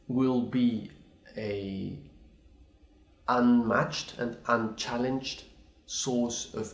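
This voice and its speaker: Hesitant low-voiced man, echoey room. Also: gravelly. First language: not English. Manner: hesitant, many restarts and apologies. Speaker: pianist. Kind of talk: recorded reply to a video challenge.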